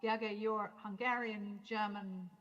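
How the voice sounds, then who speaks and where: A woman's voice: mid-range voice, fairly even intonation, slow pace, fairly bright tone. A woman hosting an interview, asking long, rambling questions full of fillers and hesitations. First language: English. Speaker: interviewer host. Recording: dull lo-fi audio.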